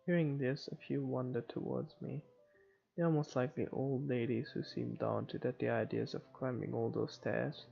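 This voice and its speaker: A man's voice: mid-range voice, steady tone, moderate pace, creaky. A man reading visual novel narration aloud for a playthrough, on a dull lo-fi mic. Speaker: let's player reading game narration. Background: faint game music.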